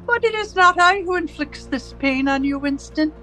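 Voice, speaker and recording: A woman playing a cold, domineering interrogator in a radio drama, speaking in deliberate, commanding, rhetorical questions and pronouncements. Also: high-pitched, animated, sharp tone, faint music behind. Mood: cold, measured menace. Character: cold, domineering party interrogator and torturer, righteous and controlling. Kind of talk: theatrical radio drama acting.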